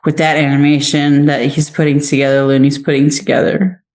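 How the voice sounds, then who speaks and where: A woman's voice: low voice, little pitch movement, brisk, a little mellow. A woman speaking as an interview guest in a casual, chatty way, telling anecdotes conversationally. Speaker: interview guest, actress and writer.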